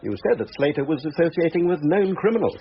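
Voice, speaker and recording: An actor playing a precise, knowing racing brigadier in a crime drama, measured and formal as he explains. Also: fairly high pitch, lively intonation, natural voice. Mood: knowing, explanatory. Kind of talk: British police drama acting. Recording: dull lo-fi audio with background hiss.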